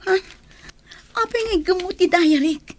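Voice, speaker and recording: Young Filipina radio-drama heroine: very high voice, slow delivery, tearful and apologetic. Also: female voice, a little hiss or hum. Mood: pleading, tearful. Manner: pleading, emotional. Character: tearful, apologetic young woman pleading with her friend.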